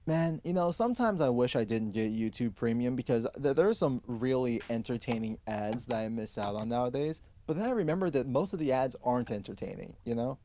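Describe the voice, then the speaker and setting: A man's voice: mid-range voice, animated, fairly quick, hardly any pauses, mellow, slight background noise. A man chatting casually as co-host of a group stream. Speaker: co-host of a fan fiction reading stream.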